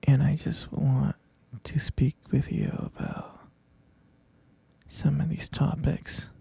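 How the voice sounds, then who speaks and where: A hesitant, concerned middle-aged stepfather, acted by a man in an audio roleplay. He speaks slowly in a half-whisper, halting between phrases. The voice is very dark and full of gravelly creak. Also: a little high, flat delivery, full character voice. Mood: hushed concern. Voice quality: breathy, gravelly. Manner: halting, hushed, drawn-out delivery. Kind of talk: scripted ASMR roleplay.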